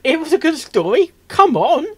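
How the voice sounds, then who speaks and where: A man hosting a reaction video, rambling casually and excitably, stammering and repeating himself as he goes. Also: extremely high pitch, moderate pace. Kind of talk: casual off-the-cuff reaction commentary.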